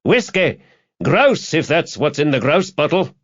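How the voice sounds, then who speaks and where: A man's voice: mid-range voice, big pitch swings, slow, gravelly, fairly bright tone, dull audio, pitched above the performer's own voice, full character voice. A man voicing a hard-drinking, cynical male pub regular in an audiobook. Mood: indignant, demanding.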